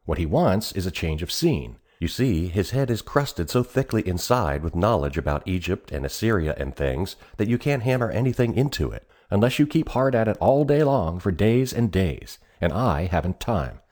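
A man voicing a stout, prosperous, hearty visitor in an audiobook, talking loudly, briskly and bossily. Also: sits low, animated. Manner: loud, brisk, emphatic.

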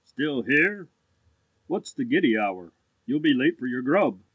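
A man's voice: medium pitch, moderate pace, no room sound, muffled recording, lightly acted. Man acting haughty grown-up big brother, languid, courteous, condescending, storybook dialogue, close dry recording. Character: languid, pompous grown-up older brother, really a bewitched baby.

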